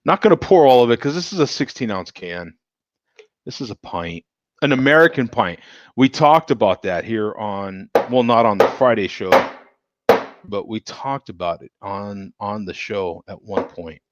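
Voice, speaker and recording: A man's voice: lowish voice, animated, moderate pace, no room sound, lo-fi sound. A man hosting a casual beer show, rambling, laughing and chatting with viewers, a bit tipsy. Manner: rambling, laughs often, talks to chat viewers. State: tipsy from drinking. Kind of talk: casual live-stream chat.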